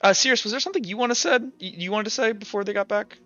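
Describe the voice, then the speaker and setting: A man narrating a tabletop roleplaying game as game master in a halting, informal way. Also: high-pitched, sharp tone, no echo, muffled recording.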